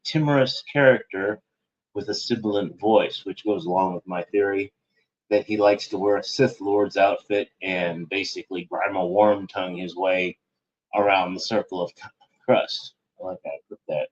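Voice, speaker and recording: Man, low voice, lo-fi home recording; wandering, snide online commentary monologue. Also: fairly even intonation, moderate pace. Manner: meandering, sardonic, self-correcting. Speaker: internet show host.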